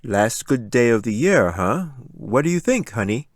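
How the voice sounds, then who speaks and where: A man's voice: medium pitch, big pitch swings, a little slow, no room sound, clean studio sound, voice pushed up. Man acting a teasing, genial storybook dad. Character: teasing, genial family father with playful surprise.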